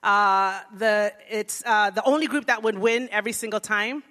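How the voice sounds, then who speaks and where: A woman from Jamaica speaking as a guest preacher to a congregation, lively and direct, calling out to the room. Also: medium pitch, moderate pace. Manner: lively, engages congregation, softens into prayer. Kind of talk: church sermon talk. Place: church sanctuary. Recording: long reverb of a large hall.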